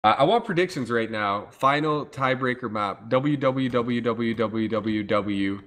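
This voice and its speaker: A man casting a live online game match, talkative and playful, his voice going hoarse. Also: medium pitch, measured pace, a bit bright. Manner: talkative, playful, hypes the chat. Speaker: esports caster. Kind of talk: casual live game commentary.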